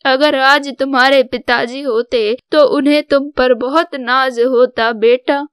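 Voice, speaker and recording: Woman playing a slow, clear-voiced proud mother. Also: high voice, fairly even intonation, a bit bright, dry recording, clean studio sound. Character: proud, devoted older mother of soldier sons. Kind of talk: scripted Hindi cartoon story dialogue.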